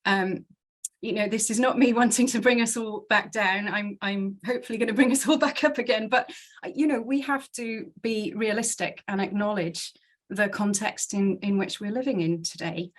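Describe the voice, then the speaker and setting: A woman from South Wales speaks to a church gathering as a guest speaker. She talks in an earnest, chatty way and works her thoughts out aloud. Her voice sits at an ordinary middle pitch for a woman. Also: moderate pace. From Swansea, South Wales. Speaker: guest speaker from a conservation charity. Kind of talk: conversational talk to a church group.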